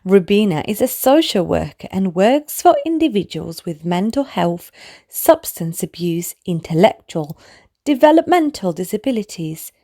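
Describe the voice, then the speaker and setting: A woman narrating a children's bedtime story in an expressive way, speaking to small children. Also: medium pitch. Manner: animated, slow, clearly addressed to small children. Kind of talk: expressive read-aloud bedtime story for toddlers.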